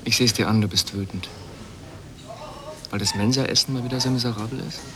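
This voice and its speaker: A man playing a young student in a radio play, calm and probing in his talk. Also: mid-range voice, brisk, close to an everyday voice. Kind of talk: German radio play acting. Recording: clear background ambience noise.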